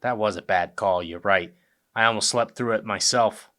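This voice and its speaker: A man's voice: lowish voice, normal speed, bright, forceful tone. A young man playing a brash, eager son who dreams of leading a jazz band, in a comic radio play, with a cocky delivery. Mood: rueful.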